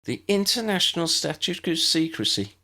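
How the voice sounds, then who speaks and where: A man's voice: on the high side, very animated, normal speed, bright, forceful tone, voice pushed up, full character voice. A man voicing a helpful, reassuring older schoolgirl witch in a storybook, friendly and explanatory. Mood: explaining.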